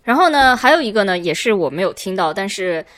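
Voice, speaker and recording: Woman host with lively intonation. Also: medium pitch, fast talker. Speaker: podcast host. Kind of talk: casual chat.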